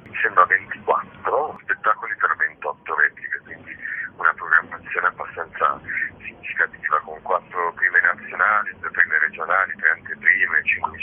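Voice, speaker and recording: A man's voice: low-pitched, steady tone, moderate pace, husky. A man being interviewed as a festival organiser, explaining things informally and discursively, often correcting himself. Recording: muffled noisy phone line.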